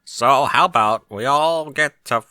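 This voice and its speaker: A man voicing a pushy, teasing female pony in a story, with drawn-out, commanding delivery and humming hesitations. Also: mid-range voice, pushed and bright, clear, resonant tone, dry recording. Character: brazen, pushy, lustful adult female pony.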